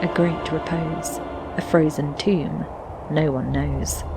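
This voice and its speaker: A reflective fantasy game narrator, really slow solemn poetry reading, music behind her. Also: low-pitched, steady tone. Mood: somber. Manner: measured, solemn, lyrical delivery.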